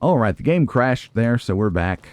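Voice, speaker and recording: A man's voice: mid-range voice, very animated, normal speed, darkish tone, no room sound. A man giving casual gameplay commentary, chatty and folksy, musing aloud to himself. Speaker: let's play host.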